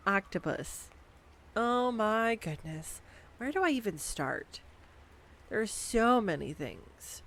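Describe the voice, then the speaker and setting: Young woman, playful scripted roleplay, animated intonation, slow pace. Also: medium pitch, slight background noise. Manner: playful, chatty, giggly. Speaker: voice actor playing a girlfriend. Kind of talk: scripted audio roleplay monologue. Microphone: studio condenser mic.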